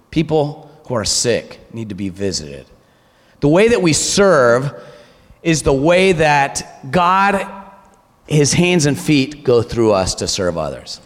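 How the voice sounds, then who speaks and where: A man preaching a sermon, exhorting the congregation and posing rhetorical questions. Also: fairly high pitch. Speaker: pastor. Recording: long church hall reverb.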